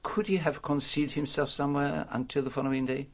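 An actor playing a shrewd, courteous detective, formal and deliberate in a radio drama. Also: male voice, a little high, steady tone, natural voice. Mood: probing, firm. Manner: formal, deliberate, inverted foreign phrasing. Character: shrewd, courteous foreign detective, probing and commanding man.

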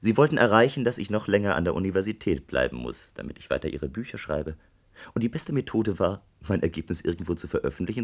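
Young male academic, very low register, husky, sarcastic, accusing, bitter, radio drama. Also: exaggerated voice. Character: bitter, gifted young doctoral student confronting his professor. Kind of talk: German radio play acting.

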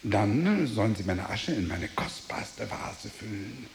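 A man playing a tormented, world-weary merchant full of guilt and longing for death, in solemn, deliberate radio drama delivery. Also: on the low side, very animated, vocal fry, reverberant, background noise, heavily acted. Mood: solemn, hushed. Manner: grave, deliberate, declamatory delivery.

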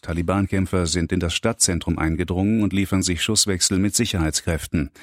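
Deep-voiced male radio news reader. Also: no room sound, studio quality. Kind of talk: formal scripted news bulletin. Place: radio studio.

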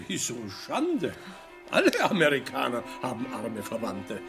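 An actor playing an easygoing older wealthy husband in a German radio play, mild and puzzled, quick to give in. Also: male voice, medium pitch, huge pitch swings, creaky, music in the background, heavily acted.

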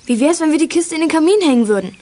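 A woman playing an excitable, cheeky young girl detective in a German children's audio drama, exclaiming eagerly. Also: high voice, racing.

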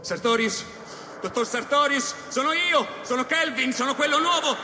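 Frantic man shouting in echoing hall. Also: very high-pitched, normal speed, full character voice. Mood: desperate, urgent. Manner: urgent, shouted, insistent. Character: desperate newcomer man pleading to be let in. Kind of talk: radio drama acting. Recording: very echoey hall, noisy ambience behind.

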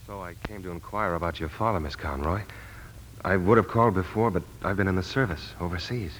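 An actor in a 1940s radio drama playing a guarded, terse war veteran searching for answers. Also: male voice, low voice, fairly quick, fairly bright tone, poor audio. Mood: polite. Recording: old radio recording with background noise.

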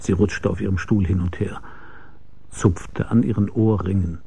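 An actor playing a weary, laconic, deadpan hard-boiled private detective who narrates his case in a radio crime drama. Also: sits low, moderate pace, gravelly, husky, heavily acted. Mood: measured.

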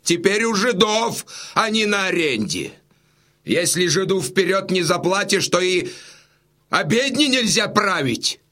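Casting: aggrieved Cossack messenger, high sharp male voice, slow emphatic radio-play declamation. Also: sing-song, full character voice. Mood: bitter, indignant. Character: agitated ragged Cossack messenger bearing grim news, indignant. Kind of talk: theatrical audio drama declamation.

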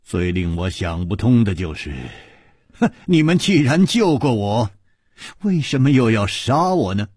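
A man acts out a calm, shrewd martial hero in a wuxia audiobook. He reasons slowly and pointedly, with faint amusement, in a husky voice that swings widely in pitch. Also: dry recording, studio quality. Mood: composed, probing.

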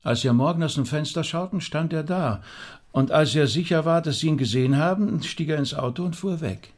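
A man playing an insistent rabbi who acts as detective, questioning in a measured, formal way in a radio drama. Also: a little high, natural voice.

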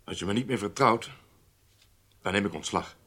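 A self-assured intelligence chief in a radio play. The man's voice is lowish with a forceful bright edge, and he speaks in a firm, clipped, stubborn way. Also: moderate pace, slightly rough. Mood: defiant. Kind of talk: radio drama acting.

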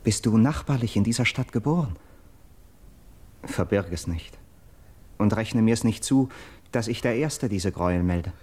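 An actor playing an earnest Greek captive in a classical verse radio drama, declaiming solemnly and dramatically. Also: male voice, lowish voice, expressive, unhurried, pauses often, darkish tone, breathy edge. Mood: grave, urgent. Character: earnest Greek captive bearing grim news of murder.

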